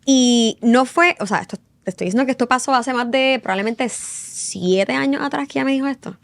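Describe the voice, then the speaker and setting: A woman's voice: high-pitched, fairly quick, gravelly, no echo. Puerto Rican woman, dry close sound. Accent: Puerto Rican Spanish. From Puerto Rico. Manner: storytelling, mixes in English phrases. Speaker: guest journalist. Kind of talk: casual interview conversation.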